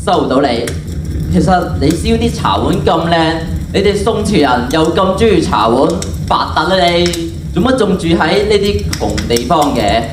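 A teenage boy with a Hong Kong Cantonese accent playing an excitable, greedy young time traveller in a theatrical school radio drama. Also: fairly high pitch, normal speed, noisy background. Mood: animated. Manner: exclamatory, theatrical. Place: large auditorium stage. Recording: echoey hall from far off, music behind.

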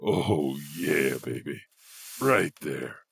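A man's voice: rumbling low, animated, creaky, slight background noise, full character voice. A man playing an eccentric, awkward janitor who hosts a horror audio anthology with rambling asides. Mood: cooing. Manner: halting, rambling, chuckling asides. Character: eccentric, awkward, creepy male janitor storyteller. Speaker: host. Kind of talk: comic horror anthology hosting.

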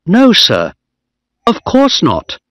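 A man's voice: high-pitched, huge pitch swings, drawn-out words, a bit bright, dry recording, dull audio, voice pushed up, exaggerated voice. A man voicing a frightened young naval officer who answers in an earnest, hesitant way. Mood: nervous. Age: young adult.

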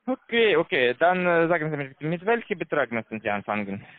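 A man with a foreign accent, not a native German speaker, giving a halting, repetitive telephone sales pitch as a call center salesman. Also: very animated, dry recording. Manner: halting, repetitive, broken grammar. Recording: tinny narrow phone line.

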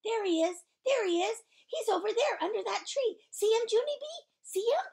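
A woman voicing an eager, excitable, loud kindergarten girl in urgent exclamations for a children's audiobook. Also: very high voice, normal speed, creaky, voice pushed up, exaggerated voice. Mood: excited.

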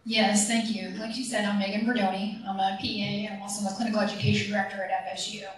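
A woman's voice: mid-range voice, flat delivery, quick pace, slight background noise. A woman who directs clinical education, speaking in a friendly, conversational way at a public board meeting. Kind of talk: public remarks. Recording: echoey meeting room from far off.

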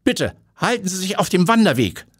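A man's voice: sits high, very animated, moderate pace, husky, dry recording, clean studio sound, pitched above the performer's own voice, exaggerated voice. A man voicing a stern, haughty woman in her fifties, in an audiobook. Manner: haughty, then flustered and despairing.

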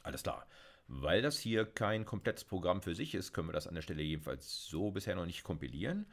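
Low-voiced man giving a casual lecture. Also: fairly quick. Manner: relaxed, chatty, frequent fillers and self-corrections. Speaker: university lecturer. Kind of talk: casual recorded video lecture with live coding.

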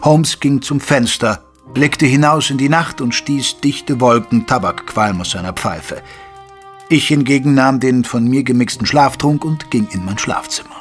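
An actor playing a caring gentleman physician who narrates a German audio drama in a measured, literary way. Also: medium pitch, faint music behind, lightly acted. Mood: measured narrating.

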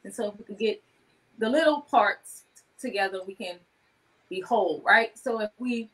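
A woman's voice: mid-range voice, normal speed, fairly bright tone, rough recording. A woman in her thirties chatting casually as a guest on a video call, talking about her own work. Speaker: guest, wellness business owner. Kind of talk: casual chat with self-promotion. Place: indoor room on a video call.

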